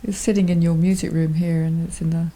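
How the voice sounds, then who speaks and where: A woman's voice: fairly low pitch, level tone, a little fast, mellow. A woman, a concert organist interviewing a composer, talking in an agreeable, chatty way and laughing easily. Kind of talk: conversational interview.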